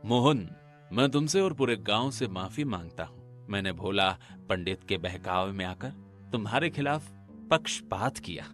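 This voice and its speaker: A man voicing an earnest, grave village man in a cartoon, formal and expressive. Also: on the low side, normal speed, faint music behind. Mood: apologetic, solemn.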